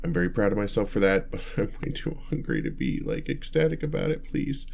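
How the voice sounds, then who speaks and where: A bashful male vampire, acted by a man for an ASMR roleplay, with a low-pitched voice. He stammers and gets flustered, too awkward and kind to be frightening. He speaks straight to the listener in halting phrases. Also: big pitch swings, vocal fry, darkish tone, slight background noise, exaggerated voice. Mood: bashful, earnest. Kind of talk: ASMR roleplay, one-sided dialogue to listener.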